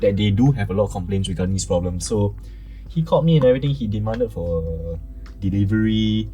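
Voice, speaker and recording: A young man with a Singaporean English accent and a fairly low voice tells stories at length as a talk show guest. His delivery is relaxed and anecdotal, with faint music in the background. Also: fairly quick, muffled recording. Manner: storytelling, recounting customer anecdotes at length. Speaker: guest, audio brand founder. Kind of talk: casual interview chat.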